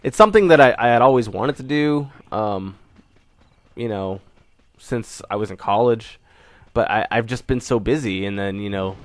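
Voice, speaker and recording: Rambling young man, low voice, just over a cold. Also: moderate pace, no room sound. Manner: rambling, many fillers and restarts. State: recovering from a cold. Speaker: gaming channel host. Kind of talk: casual spoken channel update.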